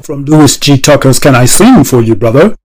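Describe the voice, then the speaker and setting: A man's voice: medium pitch, expressive, moderate pace. An older man telling the story of his own career in scripted narration as a storyteller.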